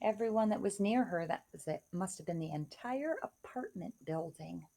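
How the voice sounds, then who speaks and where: A woman's voice: on the low side, animated, moderate pace, gravelly, warm tone. A woman reading a rhyming children's story aloud in a theatrical, emphatic way. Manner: theatrical, emphatic delivery of rhymes. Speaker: storybook reader.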